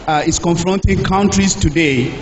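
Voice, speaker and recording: A man with a Ghanaian English accent giving a health talk in a church, speaking in short phrases and pausing for an interpreter. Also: on the high side. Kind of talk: public talk through an interpreter. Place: church hall. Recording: echoey hall, dull lo-fi sound, faint hiss.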